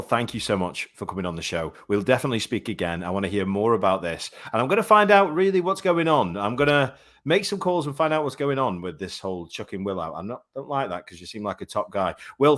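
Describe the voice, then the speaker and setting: A man's voice: on the low side, big pitch swings, quick pace, a bit bright. A man hosting a live online chat show, chatty and effusive, calling his guests mate. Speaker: show host.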